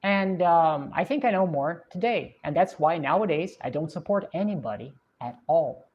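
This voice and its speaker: A woman with a Russian accent talks through a casual livestream, answering viewers in a reflective, unhurried way full of little fillers. Her voice sits a bit low. The stream audio is dull and lo-fi. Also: expressive. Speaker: livestream host. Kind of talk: casual livestream chat reading viewer comments.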